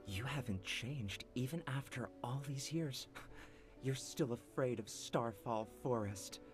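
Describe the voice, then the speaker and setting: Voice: medium pitch, measured pace, faint music behind, natural voice. An actor playing a bitter, vengeful man, a sneering illusion of a former friend, in a theatrical game-story style. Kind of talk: dramatic character acting in narrated game story.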